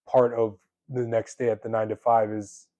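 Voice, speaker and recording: A man's voice: on the low side, flat delivery, moderate pace, dark tone, no echo, lo-fi sound. A young man in his twenties hosting a podcast, chatting casually with lots of fillers and easy laughs. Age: 20s. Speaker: podcast host. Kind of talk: casual conversation.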